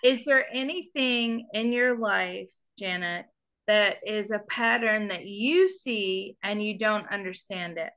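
A woman hosting a live call-in show as a psychic reader, talking in an animated, coaxing way and laughing often. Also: medium pitch, slow pace.

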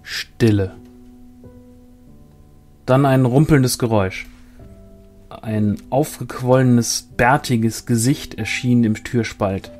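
A man calmly and measuredly reading a fantasy short story aloud as narrator. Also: medium pitch, slow pace, pauses often. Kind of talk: scripted storytelling. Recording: soft guitar music behind.

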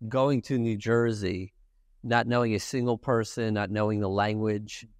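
A man's voice: lowish voice, steady tone, moderate pace, clean tone, no room sound, clean studio sound. A man in his forties from Ukraine, Russian his first language, answering questions earnestly as a podcast guest in an office. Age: 40s. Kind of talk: casual interview. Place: bright office with a lounge set.

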